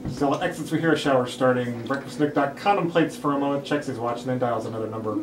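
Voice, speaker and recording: A man's voice: mid-range voice, quick pace. A man reading scripted stage directions aloud at a table read. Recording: roomy, distant mic, clear background noise.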